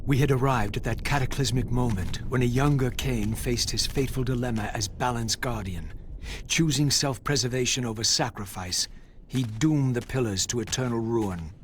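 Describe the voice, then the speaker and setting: An actor playing a brooding, defiant undead wraith in a gothic video game, speaking with solemn, theatrical eloquence and formal diction. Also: male voice, mid-range voice, moderate pace, background noise, natural voice. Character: brooding, defiant undead wraith seeking answers about his fate. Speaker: game character and narrator. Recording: loud music behind game cutscene audio. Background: loud dramatic music.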